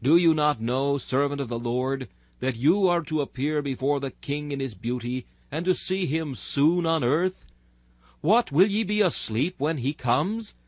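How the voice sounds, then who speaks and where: A man's voice: a little high, a little slow, no echo. A man reading an old-fashioned sermon aloud, solemn and exhortatory, with rhetorical delivery. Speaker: sermon reader. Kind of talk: dramatic sermon reading in archaic Victorian English.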